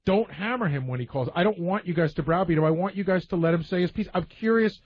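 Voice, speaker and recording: A man's voice: high voice, fast talker, dry recording. A man in casual radio talk, telling stories as a member of the show's staff. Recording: dull, muffled lo-fi audio.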